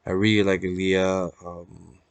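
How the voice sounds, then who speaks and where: Low-voiced young man with a Peruvian accent. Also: level tone, clear, resonant tone, dry recording. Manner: reflective, hesitant, rambling with long pauses; swears casually. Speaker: vlogger. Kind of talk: casual unscripted personal vlog monologue.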